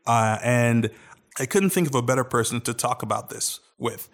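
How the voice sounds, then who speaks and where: A man's voice: mid-range voice, expressive, normal speed. Chatty male podcast co-host. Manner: thoughtful, restarts often while searching for words. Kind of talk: casual podcast chat.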